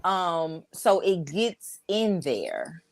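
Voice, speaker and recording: A woman's voice: fairly low pitch, huge pitch swings, extremely slow, dry recording. A woman from Dallas with a Texas accent, a business owner chatting candidly as a podcast guest. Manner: candid, chatty, storytelling with fillers. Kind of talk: casual podcast interview.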